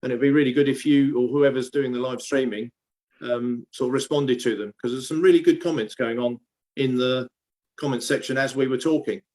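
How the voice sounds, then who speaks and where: A man's voice: medium pitch, fairly even intonation, a little fast, a little mellow. A man leading a video call in an easygoing, rambling way. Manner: easygoing, laughs often, rambling.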